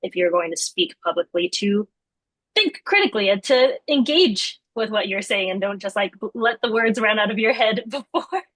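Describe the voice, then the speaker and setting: A woman's voice: mid-range voice, lively intonation, moderate pace, fairly bright tone, no room sound, rough recording. A young adult woman from Singapore, a guest in a casual interview, talking thoughtfully and laughing often.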